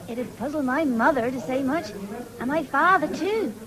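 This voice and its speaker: A woman's voice: high-pitched, lively intonation, unhurried, crowd noise. An actress playing a thrifty, forthright young country woman with a rural English accent in a 1970s radio drama, coy and scolding. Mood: coy, playful. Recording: noisy old radio broadcast, fairground din.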